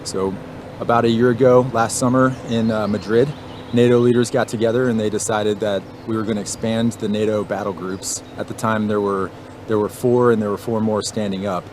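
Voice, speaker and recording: Guy, lowish voice, military officer type explaining stuff haltingly, noisy outdoors w vehicles. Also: fairly even intonation. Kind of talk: on-camera interview explanation. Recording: outdoor noise, vehicles behind.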